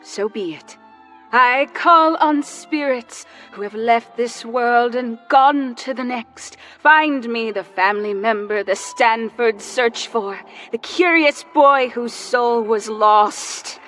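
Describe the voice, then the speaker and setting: An actress playing a solemn female spiritualist medium leading a séance in a radio drama, speaking in a slow, hushed, ceremonial way. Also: fairly high pitch, faint music behind. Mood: incanting.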